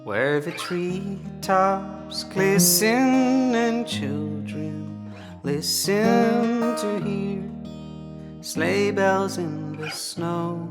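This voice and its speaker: A woman singer performing a slow, seasonal Christmas song. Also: mid-range voice. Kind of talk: singing a slow Christmas song with acoustic guitar. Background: plucked acoustic guitar.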